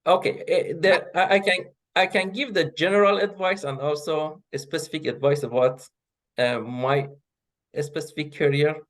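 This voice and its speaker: Hesitant Persian-speaking man, measured answers. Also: fairly high pitch, steady tone. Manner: hesitant, many fillers and restarts. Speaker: guest, associate professor of linguistics. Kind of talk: interview answers.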